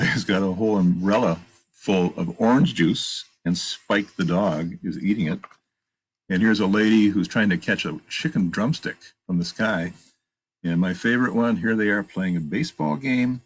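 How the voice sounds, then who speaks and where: A man with a gravelly, husky voice reads a picture book aloud to children, pausing to point out pictures and ask questions. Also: low-pitched. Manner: animated, emphatic read-aloud delivery. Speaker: children's storytime reader. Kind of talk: reading a picture book aloud with chatty asides.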